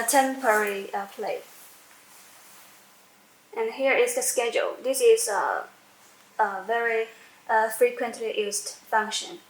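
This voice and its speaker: A woman giving an unscripted step-by-step software demonstration, halting as she searches for words and repeats phrases. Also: fairly high pitch. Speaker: software tutorial presenter. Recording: echoey room with faint background noise.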